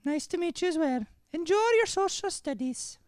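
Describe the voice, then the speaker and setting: A man's voice: very high-pitched, moderate pace, dry recording, studio quality. A man playing a flustered, polite young girl with an Irish accent in an improvised radio play. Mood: flustered, pleased. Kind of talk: improvised old-time radio play acting.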